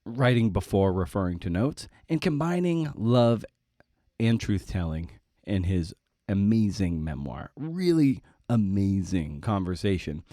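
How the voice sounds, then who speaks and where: A man with a fairly low voice, recorded close and dry, introduces his podcast in a casual monologue. He is wry and self-deprecating, dropping in playful asides and repeating phrases for emphasis. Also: big pitch swings, measured pace, dark tone, dry recording. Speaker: podcast host.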